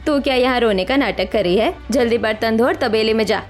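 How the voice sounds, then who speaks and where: A woman acts out a domineering mother-in-law from a family cartoon. Her voice is fairly high and she scolds with open contempt and sharp commands. Soft music plays behind her. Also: a little fast, lightly acted. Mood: contemptuous, scolding. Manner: bossy, sharp-tongued. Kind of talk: Hindi family cartoon story acting.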